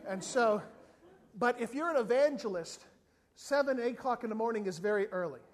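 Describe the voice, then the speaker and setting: Male preacher, sermon, humorous storytelling, animated delivery. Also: very high voice, fairly quick. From not from South Africa. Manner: animated storytelling, acts out other people's voices. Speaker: evangelist.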